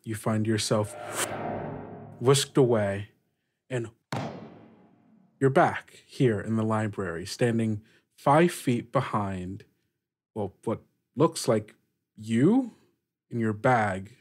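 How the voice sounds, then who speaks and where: Slow, deliberate man running a tabletop game. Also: fairly low pitch, slight background noise. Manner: halting, pausing mid-phrase, deliberate. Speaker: game master. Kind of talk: narrating a tabletop roleplaying game.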